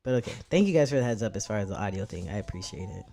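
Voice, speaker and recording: A man's voice: expressive, racing, creaky, warm tone, faint music behind. A young man talking casually to his live-stream chat, rambling and cursing freely as he fiddles with his setup. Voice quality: medium-pitched voice, neither deep nor high.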